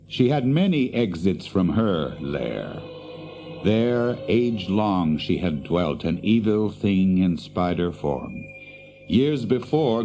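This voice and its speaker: A man narrating a dramatised fantasy tale in a grave, ominous, deliberate manner. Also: sits low, warm tone. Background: music.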